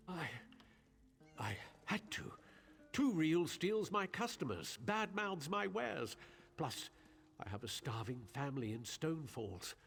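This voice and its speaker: A scheming silk merchant from a fantasy video game. He is haughty and spiteful, wheedling with theatrical flair. His pitch swings widely, and faint music plays underneath. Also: sharp tone, full character voice. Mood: wheedling, pleading. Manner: theatrical, pleading turning to sneering contempt. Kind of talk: dramatic video game voice acting.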